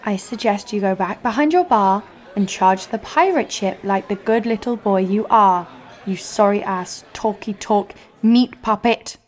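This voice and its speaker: A brash female adventurer played by an actress tells her exploits in an audio drama. She is sardonic and cocky, at a middling pitch, with faint ambience behind her. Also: unhurried, noisy background, close to an everyday voice. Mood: irritated, brazen. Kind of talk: first-person audio drama narration and dialogue.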